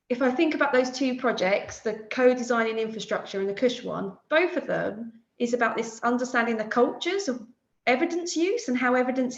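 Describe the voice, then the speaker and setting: A woman's voice: a little high, vocal fry. A woman on an academic panel, thinking aloud in a reflective way. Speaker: panel participant, researcher. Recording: echoey, dull-sounding room.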